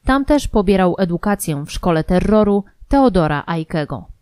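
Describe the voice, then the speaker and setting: A woman's voice: lowish voice, animated, moderate pace, darkish tone, clear, resonant tone, no room sound, studio quality. A woman reading scripted biographical documentary narration. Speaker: narrator of a true-crime history audio programme.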